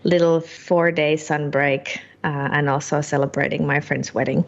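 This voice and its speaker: A woman in her thirties from Finland, answering interview questions in a casual, halting way with many fillers. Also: deep voice, steady tone, vocal fry, lo-fi sound. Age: 30s. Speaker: guest, partner sales director.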